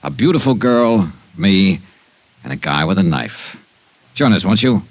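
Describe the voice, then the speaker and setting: Hardboiled private investigator, low lively voice, breezy sardonic wisecracks, old-time radio detective drama. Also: male voice, unhurried, dry recording, dull audio. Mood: dry storytelling. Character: wisecracking, hardboiled male freelance insurance investigator.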